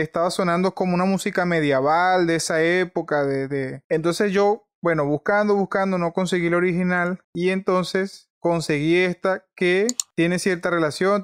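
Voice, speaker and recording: Higher-pitched man giving an informal tutorial. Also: normal speed, few pauses, no echo, clean studio sound. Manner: conversational, repeats and rephrases himself. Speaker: video tutorial host. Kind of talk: informal explanatory screen tutorial.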